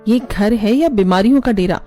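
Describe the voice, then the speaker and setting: A woman's voice: fairly high pitch, moderate pace, dark tone, soft background music, lightly acted. A woman voicing a fed-up, scheming young daughter-in-law in a cartoon, complaining and exasperated.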